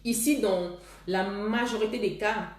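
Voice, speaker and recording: A woman talks earnestly to her viewers, repeating herself and starting phrases over as she thinks. Her voice sits at a middle pitch. The room around her is echoey and roomy. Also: a little slow. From Cameroon. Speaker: host. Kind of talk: casual advice talk to viewers.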